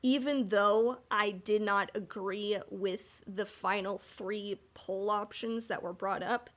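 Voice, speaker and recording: A woman's voice: mid-range voice, steady tone, slow, a bit bright. A woman co-hosting a podcast in casual fan discussion, speaking candidly and emphatically, with the odd casual swear. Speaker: podcast host.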